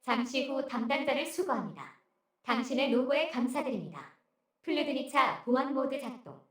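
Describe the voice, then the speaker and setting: A woman's voice: high voice, animated, moderate pace, reverberant. A woman voicing an impassive, officious female AI of a delivery machine in a game, giving formal clipped announcements.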